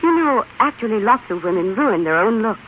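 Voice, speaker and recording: An actress playing a charming, confident young fashion editor, polished and persuasive, in an old radio advertisement. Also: female voice, medium pitch, animated, fairly quick, close to an everyday voice. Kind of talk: scripted 1930s radio advertisement. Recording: tinny, hissy old radio recording.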